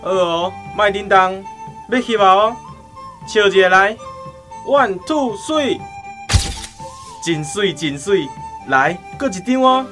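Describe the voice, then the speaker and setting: A man playing a smooth-talking, jovial travelling photographer in a radio drama, with a Taiwanese accent. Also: high-pitched, expressive, takes it slowly, long pauses, over music. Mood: cheerful, directing.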